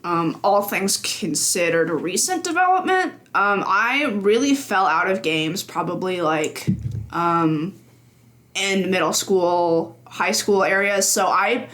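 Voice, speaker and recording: A woman's voice: fairly low pitch, fairly bright tone. A young woman guesting on a casual podcast, a chatty storyteller who laughs easily and fills with ums. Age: young adult. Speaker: games journalist guest.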